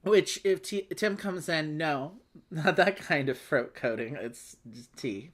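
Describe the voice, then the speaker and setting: Female game streamer, low register, highly animated delivery, casual and jokey, faintly phlegmy. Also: takes it slowly. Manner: playful, wry joking asides. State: slightly phlegmy. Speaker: host of a board game play-along livestream. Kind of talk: casual chat with read-aloud game text.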